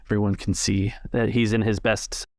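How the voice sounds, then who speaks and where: A man narrating a tabletop role-playing game as the game master, with a gravelly voice. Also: lowish voice, flat delivery, normal speed, no room sound, studio quality.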